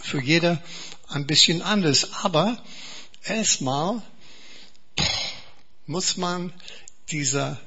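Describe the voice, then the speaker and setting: English guy preaching in german, slow and earnest, bright but kinda breathy. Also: fairly high pitch, muffled recording. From England. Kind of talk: sermon.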